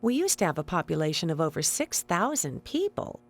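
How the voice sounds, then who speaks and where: A kindly old American innkeeper from a video game. She is genteel, chatty and fluttery, and her pitch swoops wildly up and down as she fusses. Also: very animated, fairly quick, heavily acted. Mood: hospitable. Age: older. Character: kindly, fussy elderly widowed female innkeeper. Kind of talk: scripted video game voice acting.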